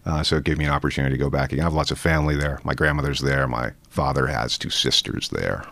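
Canadian man, very low voice, dry close sound, relaxed guest with lots of ums. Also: quick pace, gravelly, no echo. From Ireland. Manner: relaxed, thoughtful, many fillers. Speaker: poet guest. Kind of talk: casual interview conversation.

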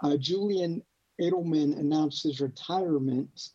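Young guy doing casual sports report, highish mellow voice, dull lofi audio. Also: unhurried. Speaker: host of a campus sports report. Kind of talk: casual sports show introduction.

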